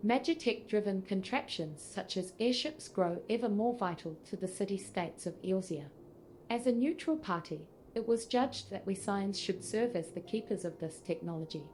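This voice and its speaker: A woman's voice: mid-range voice, lively intonation, normal speed, a little mellow, slight background noise, close to an everyday voice, low-quality recording. A synthetic female voice playing a gracious, formal leader of an order in a fantasy game, speaking politely. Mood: welcoming. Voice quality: synthetic text-to-speech delivery. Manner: polite, formal, archaic wording. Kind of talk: scripted fantasy game dialogue. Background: faint music.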